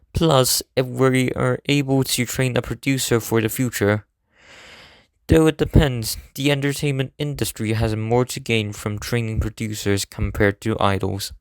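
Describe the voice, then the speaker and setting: A man acts as a serious teenage idol student in an anime fan dub. His voice is smooth and clear in a dry, close recording. He explains things earnestly, in formal, measured sentences. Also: medium pitch, no room sound, lightly acted. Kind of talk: scripted anime game fan dub acting.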